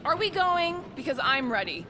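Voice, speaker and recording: A woman's voice: high voice, big pitch swings, normal speed, noisy background. A woman playing a tough, dry-witted female alien warrior in a video game, speaking curtly. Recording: loud music and game sound behind.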